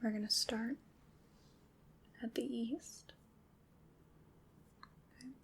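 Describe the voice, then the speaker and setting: A woman playing a calm witch in an ASMR roleplay, leading a ritual in a hushed, soothing, deliberate whisper. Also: on the high side, creaky, slight background noise, full character voice. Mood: gentle, reassuring.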